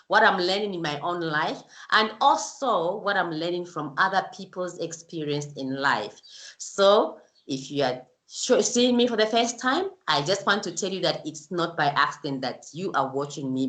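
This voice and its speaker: A woman's voice: medium pitch, sharp tone, reverberant. A woman hosting her own show, chatting in a casual interview with effusive, gushing praise. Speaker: YouTube show host.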